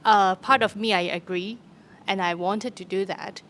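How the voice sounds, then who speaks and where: A young woman from Hong Kong with a Hong Kong accent, answering in a halting way with many fillers and restarts. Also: medium pitch, steady tone, fairly bright tone. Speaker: theatre practitioner. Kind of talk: conversational interview answer. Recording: faint background noise.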